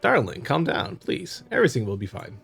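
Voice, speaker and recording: A man's voice: medium pitch, huge pitch swings, a little fast, gravelly, a bit bright, slightly rough, heavily acted. A man voicing a placating young husband in a visual novel, soothing and coaxing his wife in an amateur dramatic style. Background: music.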